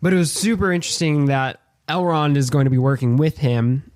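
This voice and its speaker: A man's voice: fairly high pitch, brisk, a little mellow, dry recording, studio quality. A man co-hosting a podcast, chatting casually and enthusiastically as a fan in an easygoing way. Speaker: podcast co-host.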